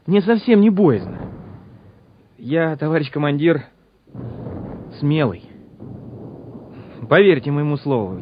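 An actor playing a quiet young soldier who talks haltingly and puts on bravado before battle, in a Soviet radio drama. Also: male voice, fairly high pitch. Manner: halting, repeats himself. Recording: muffled old radio drama recording, noisy.

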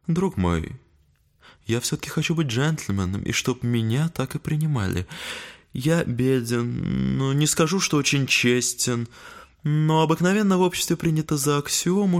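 Man doing a shabby gentleman devil, chummy n teasing, medium pitch, audio play. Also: natural voice. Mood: suave, chummy. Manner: urbane, familiar, teasing. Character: genteel, shabby male devil, chatty and ingratiating. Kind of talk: dramatic audio play acting.

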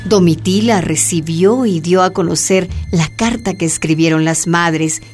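Measured woman narrator over music. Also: fairly low pitch, darkish tone. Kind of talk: scripted radio drama narration.

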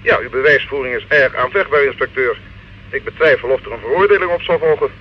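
An actor playing an officious, sceptical legal official in an old radio drama, formal and reproving in manner. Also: male voice, mid-range voice, animated, fast, close to an everyday voice. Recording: dull, hissy old radio recording.

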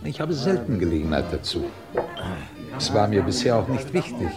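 An actor playing a ruthless, scornful British scientist in a 1950s German radio drama, cold, cutting and commanding. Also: male voice, on the low side, sing-song, moderate pace, loud music behind the voice. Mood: cynical, cool.

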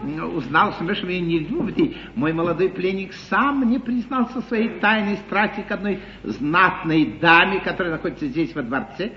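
An actor playing a wily, teasing English lord in a 1950s stage comedy, with a theatrical, deferential manner. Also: male voice, high voice, normal speed, hardly any pauses, a little hiss or hum, lightly acted. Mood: sly, confiding. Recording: dull echoey 1950s live theatre recording.

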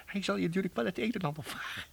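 A man's voice: high-pitched, very fast, creaky, husky, exaggerated voice. A man playing an eccentric, avuncular inventor professor in a children's comic radio play, muttering and repeating himself. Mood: sly, conspiratorial. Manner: muttering, repetitive, expressive.

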